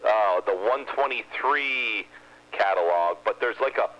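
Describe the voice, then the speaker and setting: A man's voice: lowish voice, a little slow. A man hosting a CB radio club check-in, chatting casually with a wry, joking manner about radio gear. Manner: wry, joking, technical tinkerer. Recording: lo-fi with steady hiss.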